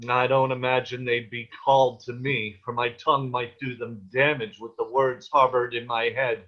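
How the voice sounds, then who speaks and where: A man's voice: mid-range voice, flat delivery, moderate pace, natural voice. A man playing a stern, protective peasant father in a table read of a period play, with ornate old-fashioned diction, over a video call. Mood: anguished. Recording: dull, lo-fi online call.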